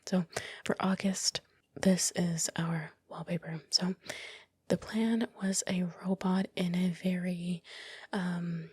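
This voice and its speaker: A woman whispering softly and breathily close to the microphone, narrating casually and unhurriedly in an ASMR style with some hesitant phrasing. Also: fairly low pitch, fairly even intonation, slow pace, breathy edge, no echo. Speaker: ASMR creator narrating her time-lapse. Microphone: close microphone, dry voice-over.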